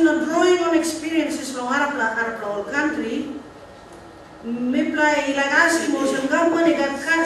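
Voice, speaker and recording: High-pitched woman, Papua New Guinean accent, formal parliamentary questioning, very echoey distant recording. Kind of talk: formal parliamentary question, mixing prepared and freer speech. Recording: echoey hall, distant mic, faint noise.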